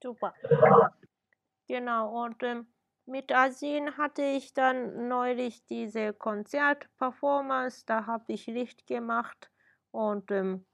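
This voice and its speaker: A woman hosting a radio interview, warmly enthusiastic and hesitant, with many fillers. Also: on the high side, fairly even intonation, slow pace, creaky. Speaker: radio show host.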